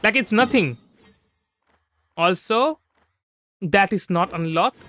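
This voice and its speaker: Male game streamer, very high register, bright tone, loose rambling chat. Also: animated, slow pace. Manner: rambling, repeats and restarts phrases often. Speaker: livestreamer. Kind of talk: casual gameplay livestream commentary. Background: soft background music.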